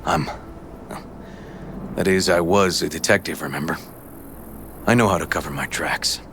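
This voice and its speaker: An actor playing a grim, weary ex-detective bent on revenge in a video game, terse and hushed. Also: male voice, low voice, normal speed, music in the background. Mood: grim resolve. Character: grim, vengeful male ex-detective, weary and brooding. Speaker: former detective. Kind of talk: dramatic video game acting.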